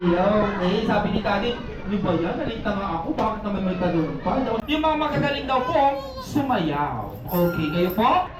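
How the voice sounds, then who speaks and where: A woman with a Filipino accent announcing party games, animated and bantering with the guests. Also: mid-range voice, normal speed. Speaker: children's party host. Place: crowded fast-food restaurant party. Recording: echoey, distant, loud party music and crowd.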